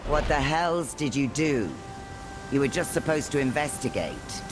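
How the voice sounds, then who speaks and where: A man's voice: medium pitch, expressive, unhurried, over music, close to an everyday voice. A man playing a stern, weary authority figure in a video game, formal and commanding. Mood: exasperated.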